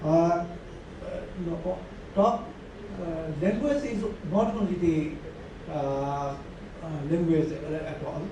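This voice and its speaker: A man's voice: fairly high pitch, slow. A man speaking as a panelist at a conference, hesitant and full of fillers. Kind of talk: conference remarks. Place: large conference hall. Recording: distant echoey hall recording with noise.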